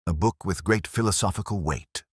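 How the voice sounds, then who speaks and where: Man voicing a deep, measured Victorian detective. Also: slightly rough, no echo, studio quality. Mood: courteous, probing. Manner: measured, formal, probing. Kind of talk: audiobook character dialogue.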